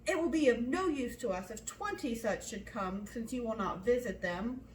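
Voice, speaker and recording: A woman's voice: fairly high pitch, expressive, far from the mic, a little hiss or hum, close to an everyday voice. Woman reading as a wry, teasing father, roomy echo. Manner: measured, wry, mock-polite. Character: dry, sarcastic, teasing middle-aged father.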